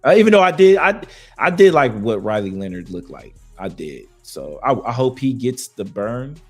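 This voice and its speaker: A man co-hosting a podcast, talking sports in a loose, slangy way with a voice that is going hoarse. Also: medium pitch, moderate pace, soft background music. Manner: loose, slangy, animated banter with fillers. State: losing his voice. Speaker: podcast co-host. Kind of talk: casual sports talk.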